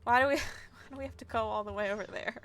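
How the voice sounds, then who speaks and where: A woman's voice: fairly high pitch, fast. A woman streaming a video game with casual live commentary and playful, sarcastic asides.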